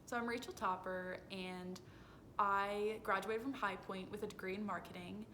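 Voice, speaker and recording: A young woman speaks at a middle pitch, answering interview questions in an earnest, explanatory way. She sounds rehearsed, like a spokesperson on camera. A faint noisy rumble sits behind her on a phone recording. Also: fairly even intonation, normal speed, pushed and bright. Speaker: interviewee, foundation communications director.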